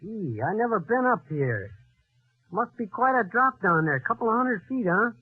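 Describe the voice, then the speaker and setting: A man's voice: high voice, very animated, normal speed, breathy edge, no echo, narrow, tinny audio, full character voice. An actor playing an easygoing, naive, chatty man in a 1940s radio drama. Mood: unsuspecting. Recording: thin, band-limited old radio recording.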